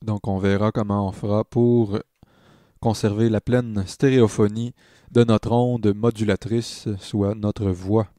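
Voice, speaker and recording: Male tutorial narrator, Quebec French accent, low register, unhurried and halting, informal teaching tone. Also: level tone, mellow, dry recording, studio quality. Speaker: online music production tutor.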